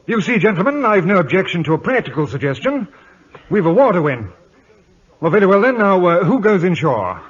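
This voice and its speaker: A haughty admiral in an old radio play, with a high-pitched voice and a pompous, commanding delivery. He is condescending and stubborn. The sound is dull and vintage. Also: sing-song, moderate pace, long pauses, breathy edge, heavily acted. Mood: condescending, stern. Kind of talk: 1950s radio drama acting.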